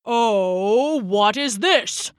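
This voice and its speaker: A woman voicing a grave, commanding old father of the gods in a storybook tale, with slow, stern pronouncements. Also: on the high side, animated, clear, resonant tone, no room sound, clean studio sound. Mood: alarmed.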